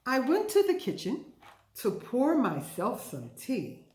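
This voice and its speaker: A woman leads a children's storytime lesson with very lively, swooping intonation. She takes her time and stresses her words like a teacher. The room around her voice is clearly echoey. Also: on the high side. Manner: emphatic, deliberate teacherly delivery with repetition and questions to listeners. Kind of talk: interactive children's read-aloud and vocabulary lesson. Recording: roomy, echoing indoor recording.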